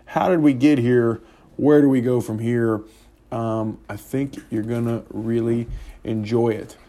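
A man's voice: mid-range voice, steady tone, unhurried, warm tone. A man hosting a podcast in a casual, conversational style, introducing the show and his guest. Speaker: podcast host.

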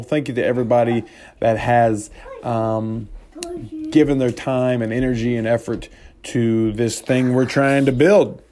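A man's voice: medium pitch, noisy background. A man hosting a podcast in a casual, conversational style, introducing the show and his guest. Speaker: podcast host.

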